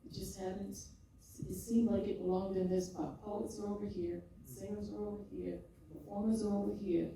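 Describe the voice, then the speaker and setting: An older woman, a poet and podcaster, telling her story in an expressive, rhythmic way and often laughing, before a live audience. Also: mid-range voice, moderate pace, background noise. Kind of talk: reflective conversational storytelling. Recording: echoey hall, mic far off.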